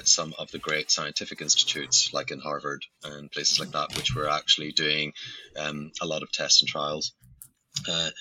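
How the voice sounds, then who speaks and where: A middle-aged man with a Northern Irish accent, speaking as a podcast guest in a hesitant way, filling pauses with "uh" and restarting his sentences. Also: rumbling low, pushed and bright, no room sound, poor audio. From Northern Ireland. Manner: hesitant, many fillers and restarts. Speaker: guest, company founder. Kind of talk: podcast interview.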